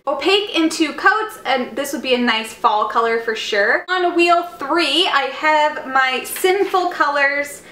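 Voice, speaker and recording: A woman's voice: high voice, a bit bright, reverberant. A young woman in her twenties hosting a beauty channel, chatting upbeat through a nail polish review at home. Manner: chatty, upbeat, repeats words for emphasis. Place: living room at home.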